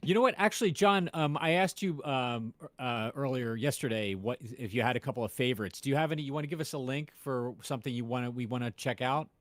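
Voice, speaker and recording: A man hosting a live stream, talking in a halting way full of fillers as he questions his guest over a video call. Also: medium pitch, dry recording. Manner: halting, lots of filler words. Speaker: podcast host. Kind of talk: casual live-stream chat.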